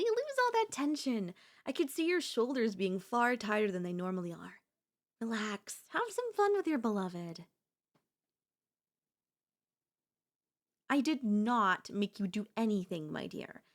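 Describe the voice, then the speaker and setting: A woman playing a doting, possessive girlfriend in an ASMR audio roleplay, speaking in flowery, coaxing endearments with a teasing elegance. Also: medium pitch. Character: doting, possessive yandere girlfriend, sweetly insistent and theatrical.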